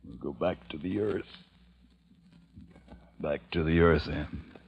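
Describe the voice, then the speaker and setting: A man's voice: a bass voice, slow, vocal fry, lo-fi sound, heavily acted. An actor playing a grave, weary man who leads a group of disaster survivors, in 1940s radio drama style, earnest and solemn. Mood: despairing. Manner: earnest and solemn, sinking to hushed despair. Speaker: lead and narrator.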